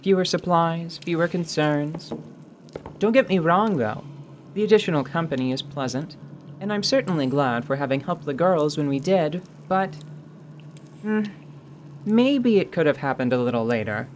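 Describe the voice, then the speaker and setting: A teasing female devil mercenary, played by a woman in a fantasy audio drama. She is wry and playful, with an unhurried delivery. Faint background noise sits under the voice. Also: low-pitched, lively intonation, pitched above the performer's own voice. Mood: wistful, teasing.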